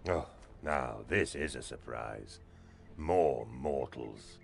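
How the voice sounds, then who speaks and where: An actor playing a gruff, mocking orc in a fantasy video game, with a gravelly, husky voice. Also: male voice, very deep voice, lively intonation, slow, faint music behind, full character voice. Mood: sneering surprise. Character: gruff, mocking male orc with a sneering temper.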